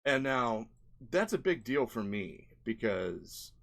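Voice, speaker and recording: Guy doing a casual game dev vlog, mid pitched voice, chatty and rambly, lots of right and you know. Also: big pitch swings, takes it slowly, creaky. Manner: conversational, often tags sentences with "right?" and "you know". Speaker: indie game developer, vlogger. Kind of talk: casual screen-share vlog narration, rambling explanation.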